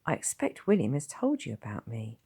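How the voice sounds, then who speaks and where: A woman voicing a bland, detached male official in his thirties who speaks quietly, half whispering. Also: very deep voice, wildly swooping pitch, a little fast, breathy edge, heavily acted.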